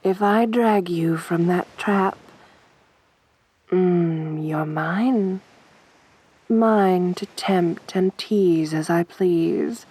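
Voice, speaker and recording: Lady doing a sadistic siren monster girl for asmr, super slow, soft n husky, teasing and possessive. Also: lowish voice, heavily acted. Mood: teasing, menacing. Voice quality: soft, breathy.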